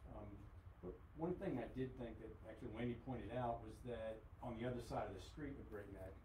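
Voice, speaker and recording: A man, a local resident on a panel, explaining his points with many pauses and restarts. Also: on the low side, mellow. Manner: hesitant, searching for words. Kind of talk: panel discussion. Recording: roomy, distant, clear background noise.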